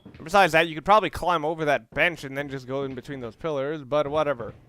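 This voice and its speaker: A man's voice: on the high side, fairly even intonation, fast talker, bright, forceful tone. A man giving casual, offhand and somewhat rambling commentary while playing a video game. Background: video game music.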